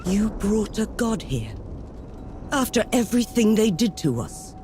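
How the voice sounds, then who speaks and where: An actress playing a stern, skeptical field marshal in a game cutscene, curt and authoritative. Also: female voice, medium pitch, sing-song, unhurried, noisy background. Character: stern, skeptical female field marshal, battle-worn commander. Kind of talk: video game cutscene acting.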